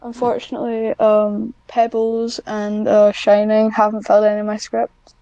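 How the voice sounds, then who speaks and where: A woman's voice: mid-range voice, monotone, normal speed, mellow, clear, resonant tone, no room sound, muffled recording. A woman chatting casually as an interview guest, in a hesitant, self-deprecating way. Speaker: guest, hobbyist audio script writer.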